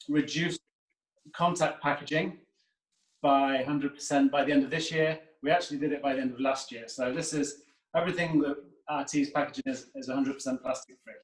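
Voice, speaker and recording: A man co-founding a drinks company pitches his product casually over a video call, hesitant with uhs and ums. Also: steady tone, moderate pace, reverberant, distant mic, poor audio. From Northern Ireland. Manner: chatty, hesitant, uh and um fillers.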